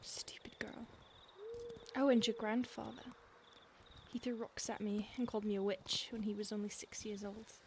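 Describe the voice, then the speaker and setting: A woman's voice: mid-range voice, flat delivery, normal speed, noisy background, lightly acted. A woman whispering as an ancient vampire stalker. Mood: menacing whisper. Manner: mostly whispered, close and intimate. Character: ancient predatory female vampire stalker, possessive. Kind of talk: ASMR audio roleplay.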